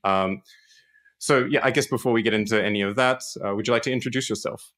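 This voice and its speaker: Deep-voiced hesitant podcast host. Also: male voice, steady tone, fast talker, a bit bright. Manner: hesitant, many "uh" and "um" fillers. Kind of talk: casual interview questions.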